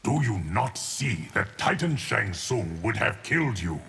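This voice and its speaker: An actor playing a solemn, immortal guardian of time in a video game, speaking in a formal, stern, measured way. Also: male voice, on the low side, sing-song, creaky, fairly bright tone, breathy edge, exaggerated voice. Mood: grave, warning. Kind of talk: video game character dialogue.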